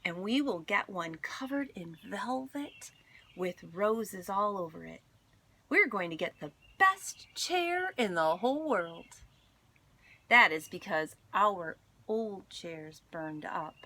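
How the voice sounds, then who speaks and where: Expressive woman reading aloud, unhurried. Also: medium pitch. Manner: expressive, deliberate storytelling with dramatic pauses. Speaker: teacher reading aloud to students. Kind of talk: children's story read-aloud.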